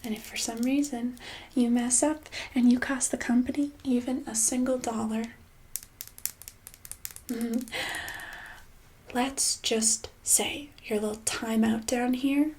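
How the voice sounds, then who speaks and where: A woman playing a controlling, passive-aggressive boss in a soft-spoken ASMR roleplay, breathy and slipping into whispers, condescending and casually menacing. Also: on the high side, fairly even intonation, takes it slowly, long pauses, a little hiss or hum. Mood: bossy, threatening. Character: controlling, passive-aggressive female boss holding an employee hostage.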